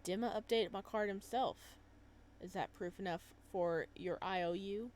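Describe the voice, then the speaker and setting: A woman voicing a determined, guarded young man in a visual novel, speaking in a curt, low-key way. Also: moderate pace, a little mellow, lightly acted. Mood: guarded, terse.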